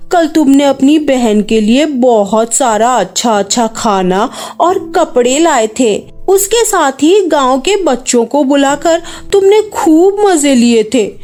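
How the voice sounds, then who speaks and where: A woman's voice: sits high, darkish tone. A woman playing a greedy, nosy, scheming village mother in a Hindi cartoon story, with emphatic delivery. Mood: suspicious, prying.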